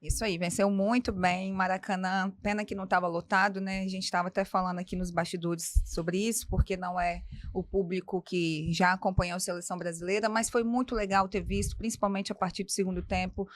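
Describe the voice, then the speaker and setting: Brazilian woman, mid pitch, chatty sports editor, close dry sound. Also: flat delivery, fairly quick, hardly any pauses, dry recording. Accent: Brazilian Portuguese. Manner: chatty, enthusiastic, tag questions. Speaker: sports editor on a talk show. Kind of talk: casual sports talk.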